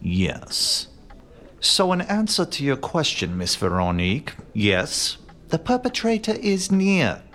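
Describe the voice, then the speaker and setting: Pompous detective with wildly swooping delivery. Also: male voice, fairly high pitch, wildly swooping pitch, heavily acted. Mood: confident, grandiose. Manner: theatrical, haughty, deliberate, given to hushed musing. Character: pompous, theatrical male master detective, aloof and self-assured. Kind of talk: comic period murder-mystery audio drama acting.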